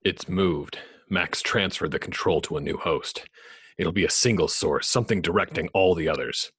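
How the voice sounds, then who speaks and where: Man playing a very low, husky brooding vigilante. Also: moderate pace, a bit bright, full character voice. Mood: grim. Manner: terse, grave. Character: grim, brooding male masked vigilante hero. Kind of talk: amateur superhero script table read.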